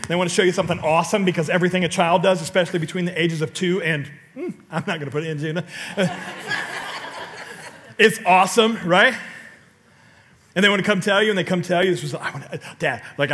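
Bright-voiced animated male pastor. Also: on the high side. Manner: animated storytelling with sudden loud outbursts and audience questions. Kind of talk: sermon. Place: large church stage.

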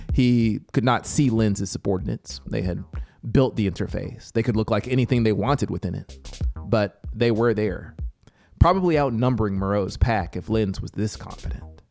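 A man in his thirties reading a story as an audiobook narrator, deliberate and theatrical, close to a studio microphone. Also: fairly low pitch, vocal fry, darkish tone. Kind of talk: dramatic scripted story narration. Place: small home music studio, indoors. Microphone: close studio microphone with headphones. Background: music soundscape.

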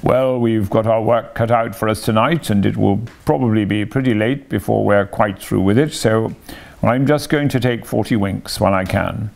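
A man reading a storybook aloud and voicing a dry, quiet old male badger who talks in a slow, measured way. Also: on the low side, gravelly. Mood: approving.

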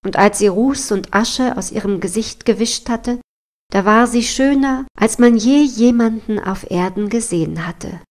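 A woman's voice: on the high side, measured pace, darkish tone. A woman storyteller narrating a scripted fairy tale.

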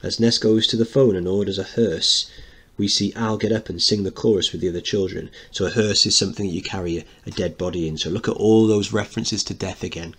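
A man's voice: fairly low pitch, steady tone, brisk, a bit bright, slightly rough. A man teaching through narration and commentary on a play, putting rhetorical questions to his listeners. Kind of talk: dramatic reading with explanatory commentary.

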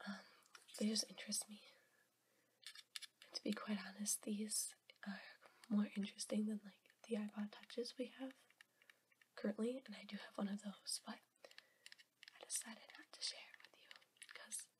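A young woman whispering softly for an ASMR video while sick, rambling with ums. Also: medium pitch, little pitch movement. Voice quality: whispered, soft. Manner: slow, rambling, with ums and soft laughs. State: sick, voice a bit rough. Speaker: ASMR creator. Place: at a table indoors.

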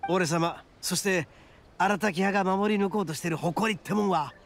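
A man voicing a boisterous, big-hearted young oni gang boss in a Japanese game, cocky and loyal, with swaggering rough speech. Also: high-pitched, normal speed, faint music behind. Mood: earnest, proud. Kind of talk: Japanese anime game voice acting.

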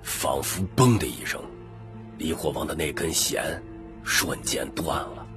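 A man's voice: extremely low pitch, steady tone, unhurried. A man narrating an audiobook story in a dramatic, scripted storytelling style. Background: background music.

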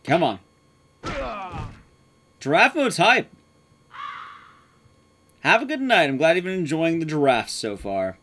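A man's voice: fairly high pitch, very animated, slow pace, pauses often, bright, forceful tone. A man giving casual live commentary as he streams a speedrun, playful and sweary. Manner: sweary, playful, reacting to play. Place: indoor room at home. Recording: clear background noise, clicks.

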